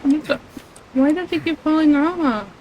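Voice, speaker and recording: A woman's voice: high-pitched, normal speed, a little mellow, slight background noise, rough recording. A woman giving casual game stream commentary, muttering and swearing to herself. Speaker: livestreamer.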